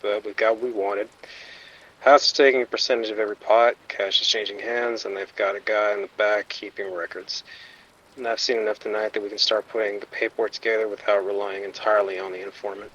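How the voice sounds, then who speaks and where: A man's voice: on the low side, flat delivery, fairly quick, fairly bright tone, highs cut off. A man playing a wisecracking, grumbling undercover vice cop in a radio drama, with sardonic delivery. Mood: grumbling, satisfied. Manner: sardonic, complaining. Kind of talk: naturalistic police radio drama acting.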